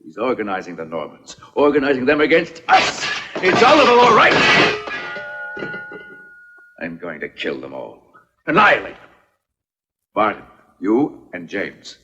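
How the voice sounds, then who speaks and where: A man's voice: mid-range voice, huge pitch swings, normal speed, long pauses, bright, forceful tone, a little hiss or hum. An actor dubbing a scheming, vengeful nobleman in a period film, theatrical, formal and commanding. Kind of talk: dubbed period film acting.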